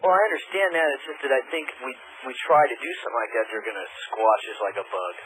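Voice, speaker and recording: A man's voice: mid-range voice, very animated, fast talker, fairly bright tone. A man phoning in to a radio show and chatting casually over the telephone. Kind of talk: casual phone-in chat. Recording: radio phone call, faint noise.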